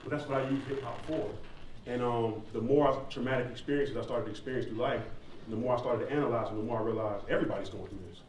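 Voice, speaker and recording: Fast-talking American man in an echoey hall. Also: medium pitch, fairly even intonation, a little mellow. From southeastern United States, near Atlanta. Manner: candid, informal, slangy. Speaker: hip hop artist and former college athlete answering questions. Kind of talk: casual audience question-and-answer talk. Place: large echoey indoor room at a live event. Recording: echoey hall, distant mic, faint noise.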